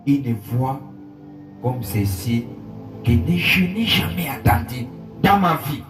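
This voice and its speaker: A male pastor preaching in French with an interpreter, telling a story with emotion, moving between hushed and raised passages. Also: mid-range voice, expressive, slow. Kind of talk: sermon with interpreter. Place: church hall. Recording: echoey church hall, far from mic, music behind.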